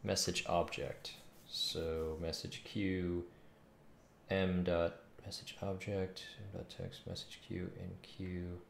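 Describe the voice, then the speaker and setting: A man in his thirties with a low, very creaky voice mutters to himself in slow fragments as he works through code. The room is echoey, with keyboard clicks behind him. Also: flat delivery. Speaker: game developer streaming coding. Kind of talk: thinking aloud while coding. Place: small room at home. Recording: roomy, noisy, keyboard clicks. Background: keyboard typing and mouse clicks.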